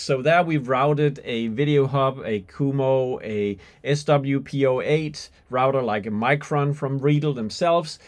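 Male tutorial presenter, 40s, mid-range voice; informal, hesitant, thinks out loud while demonstrating. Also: medium pitch. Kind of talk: unscripted software and hardware demonstration. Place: small indoor room with skylight window.

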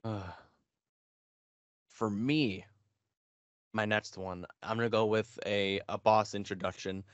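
A man's voice: on the low side, flat delivery, takes it slowly, clean tone, no echo, muffled recording. A man in his twenties co-hosting a podcast in a laid-back, casual chatting manner. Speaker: podcast co-host. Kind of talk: casual chat about video games. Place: small home room, indoors. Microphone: headset on a video call.

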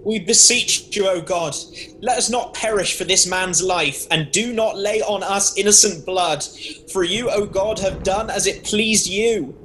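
A man's voice: high-pitched, a little slow, fairly bright tone. A man playing an anxious sailor in a dramatised scripture reading. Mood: pleading. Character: anxious sailor questioning and pleading. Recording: noisy video-call audio.

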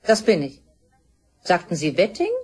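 A woman playing a reserved, formal older doctor with a husky voice in a crime radio play. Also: lowish voice, wildly swooping pitch, measured pace, exaggerated voice. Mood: guarded, curt.

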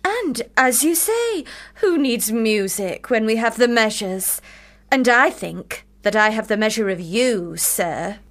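Exacting stern Victorian woman, sardonic, somewhat high voice, rhetorical, period radio drama. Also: lively intonation, takes it slowly, a bit bright, full character voice. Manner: preachy, exacting, rhetorical questions. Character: stern, commanding, sardonic Victorian elder sister.

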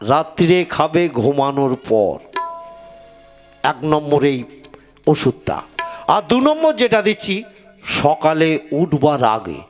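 A man's voice: on the high side, big pitch swings, takes it slowly, long pauses. A man voicing an exasperated quack dentist in a comic puppet show, exclaiming incredulously. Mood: reassuring, self-important. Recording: loud music behind the voice.

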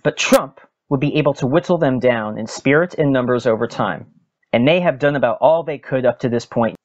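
An American man, a podcast host, gives a dramatic, deliberate reading of a political commentary with weighted pauses. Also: mid-range voice. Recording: dull lo-fi sound with music behind.

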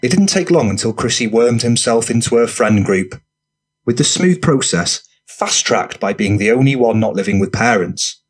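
A man's voice: lowish voice, breathy edge. A man narrating a gritty crime audiobook, reading scripted present-tense fiction.

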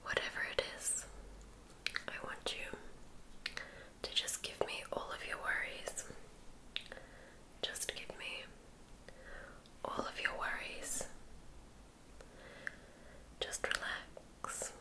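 Very slow, whispering ASMR woman. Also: poor audio. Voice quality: breathy whisper. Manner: soothing, slowly repeating phrases. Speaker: ASMR host guiding relaxation. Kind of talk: ASMR sleep hypnosis.

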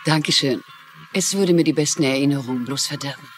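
An actress playing a sharp, sardonic estranged wife with blunt demands, in a German-dubbed mystery drama. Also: female voice, extremely low pitch, brisk, music in the background. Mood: cold, sardonic. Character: sharp, scornful estranged wife, hard-nosed businesswoman.

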